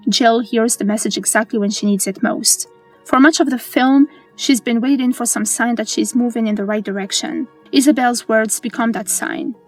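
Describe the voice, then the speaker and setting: Higher-pitched woman narrating over music. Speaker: narrator of a film explainer. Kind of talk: scripted narration.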